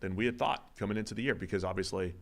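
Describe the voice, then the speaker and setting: Man, low voice, podcast co-host, loose football chat, thinks out loud. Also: very fast, vocal fry. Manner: conversational, thinking aloud with restarts and "um". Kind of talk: casual sports analysis chat.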